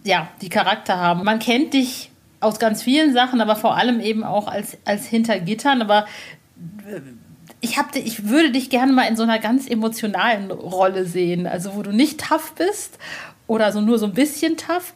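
A woman's voice: mid-range voice, expressive, moderate pace. A woman interviewing a guest for a podcast in a casual, informal way, with rambling questions.

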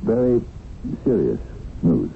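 Stammering, smitten businessman, animated pitch. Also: slow pace, vocal fry, heavily acted. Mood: flustered, smitten. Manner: stammering, hesitant, flustered. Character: smitten, flustered, nervous businessman courting a woman. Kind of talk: 1970s radio drama acting. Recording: tinny, lightly noisy old radio broadcast.

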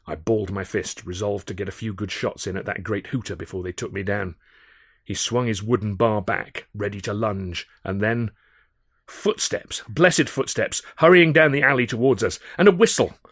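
A man reading a scripted first-person comic adventure, wry and expressive as a storyteller. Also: fairly low pitch, normal speed, breathy edge, dry recording, clean studio sound. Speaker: author narrating own audiobook.

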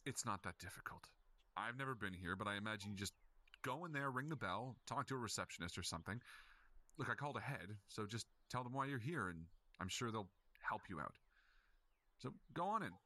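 A man's voice: lowish voice, a little fast, dry recording. A man playing a wry, patient police officer. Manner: calm, dryly sarcastic. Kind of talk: audio drama acting.

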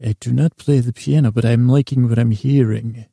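Man as pompous male concierge, comic podcast character, courteous, smug, formal phrasing, heavy vocal fry, close dry sound. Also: mid-range voice, fairly even intonation, normal speed, warm tone, no room sound. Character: grandly self-assured male hotel concierge, courteous and boastful.

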